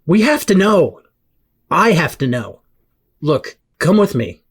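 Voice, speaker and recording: A woman playing a rattled, excitable teenage boy with superpowers in an audio drama, speaking emotionally in casual slang. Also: fairly low pitch, slow, slightly rough, exaggerated voice. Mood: anxious, pleading.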